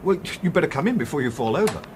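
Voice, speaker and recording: An actor playing a loyal, hospitable friend in a radio drama, solicitous and expressive. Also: male voice, fairly high pitch, rapid-fire, slight background noise. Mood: alarmed, concerned.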